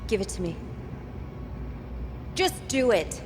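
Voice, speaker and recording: An actress playing a determined, principled rival in a video game, speaking in a firm, commanding way. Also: female voice, mid-range voice, very animated, takes it slowly, over loud music. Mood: insistent.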